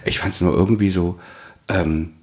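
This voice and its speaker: A man's voice: low-pitched, steady tone, measured pace, a little mellow. A man chatting casually as a podcast host. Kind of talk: casual chat.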